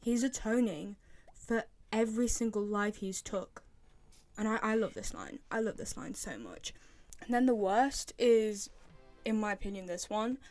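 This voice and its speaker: A woman's voice: medium pitch, animated, a little slow, a little mellow, dry recording, soft background music. A young woman with a British accent giving casual opinion commentary as a video host, often repeating herself for emphasis. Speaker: video host, game fan.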